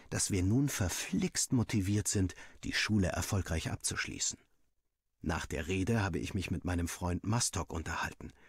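A man with a fairly low, husky voice narrates a first-person story. He reads expressively and takes his time over the scripted lines. The recording is very dry and close. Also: no room sound. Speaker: narrator reading a Minecraft story aloud.

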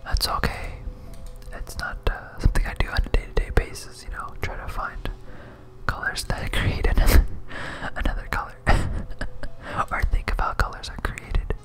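A gamer speaking in a breathy whisper, giving soft, rambling ASMR commentary while playing a video game. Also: moderate pace.

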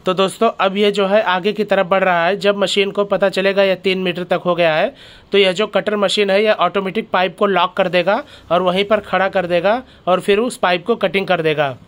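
Host, male, high and smooth voice, explaining a factory tour step by step. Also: fairly even intonation, normal speed, a bit bright. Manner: explains step by step, keeps addressing viewers as friends. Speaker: channel host. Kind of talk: factory tour vlog narration.

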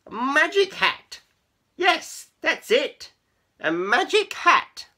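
A woman voicing a shy, silly, flustered male cat with a squeaky, stammering manner in a storybook read-aloud. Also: drawn-out words, pushed and bright, heavily acted. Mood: relieved, excited.

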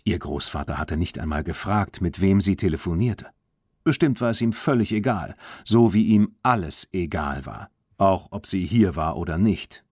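A man narrating a children's story as a scripted audiobook, in an expressive storytelling manner. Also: sits low, moderate pace, slightly rough, dry recording, clean studio sound.

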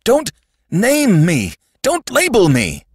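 Man voicing a frantic, high-pitched frightened alien being. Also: big pitch swings, slow pace, fairly bright tone, no room sound, studio quality, full character voice. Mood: panicked, screaming. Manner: frantic, pleading, gasping outbursts. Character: terrified shapeshifting alien mistaken for the Sandman, pleading.

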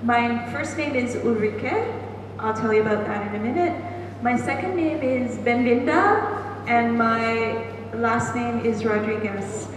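A middle-aged woman with a Canadian accent, moderating a festival panel in a measured, friendly way, speaking into a handheld mic. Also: on the high side, crowd noise. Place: large echoing festival hall. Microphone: handheld mic, far camera. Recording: echoey hall, distant, background noise.

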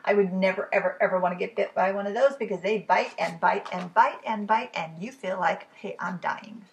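A woman in her fifties reading riddle poems aloud for a children's storytime, expressive and deliberate, with chatty asides to the listeners. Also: mid-range voice. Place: living room at home, indoors. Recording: clearly noisy room recording.

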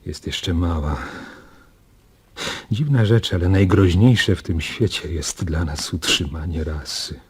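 An actor playing a grave, protective father in a radio drama, earnest and deliberate, with a husky voice. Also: deep voice, full character voice. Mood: weary, reflective. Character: protective, grave father keeping a family secret.

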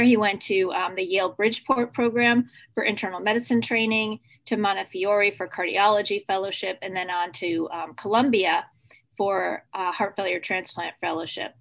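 A woman introducing a guest lecturer at a hospital grand rounds session, halting with frequent ums. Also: medium pitch, a bit bright. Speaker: host introducing the speaker.

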